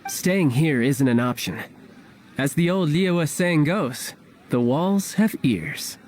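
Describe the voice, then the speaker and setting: A man playing a charming, sly young villainous diplomat in a video game, breezy, teasing and smoothly persuasive. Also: on the high side, expressive, takes it slowly, a little mellow, a little hiss or hum. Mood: reassuring. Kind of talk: video game character acting. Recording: music behind the voice. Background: game music.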